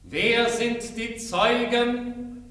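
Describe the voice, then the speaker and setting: Slow, echoing angel judge. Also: male voice, very high-pitched, bright, forceful tone, exaggerated voice. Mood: solemn, commanding. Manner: solemn, ceremonial declamation. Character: solemn male angel presiding over a heavenly tribunal. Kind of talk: 1950s stylised radio drama declamation. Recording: very echoey cavernous hall, distant voice.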